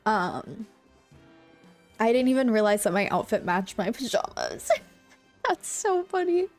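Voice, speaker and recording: A woman's voice: mid-range voice, very animated, unhurried, darkish tone, faint music behind. Bubbly young woman chatting to her stream, kinda stuffy nose, lots of likes and ums, desk mic at home. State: a bit congested. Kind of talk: casual chat with live chat.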